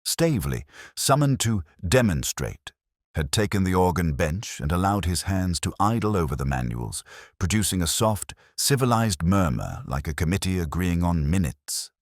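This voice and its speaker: A man reading a literary mystery story as narrator, in a measured and deliberate storytelling manner. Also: low-pitched, very animated, no echo, clean studio sound.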